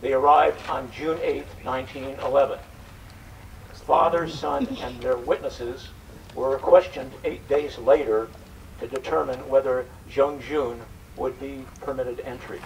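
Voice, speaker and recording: A man's voice: mid-range voice, fairly even intonation, unhurried, creaky. An older man introducing and narrating a live event, reading from a script as presenter. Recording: distant mic, faint background noise.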